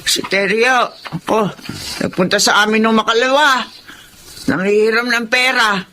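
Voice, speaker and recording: A woman's voice: on the high side, steady tone, normal speed, sharp tone, slight background noise. A woman playing an old, fretful but caring grandmother with a Filipino accent, stammering and repeating herself in a radio drama. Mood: worried, resigned. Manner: stammering, repetitive, exasperated. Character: scolding, caring old grandmother living on a pension.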